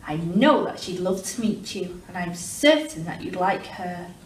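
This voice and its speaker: Crafty young female duck for a storybook woman performing, sing-song persuasion, unhurried, in a roomy noisy space. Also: lowish voice, animated. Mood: coaxing. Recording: roomy, distant mic with clear background noise.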